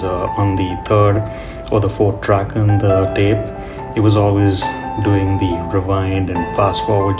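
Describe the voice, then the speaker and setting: A middle-aged man giving a casual, rambling voiceover in a nostalgic, reflective manner, as host of a drawing tutorial. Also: fairly low pitch. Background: guitar music.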